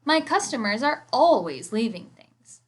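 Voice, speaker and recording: A woman voicing a brisk, helpful laundromat manager in a storybook, calling out. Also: a little high, very animated.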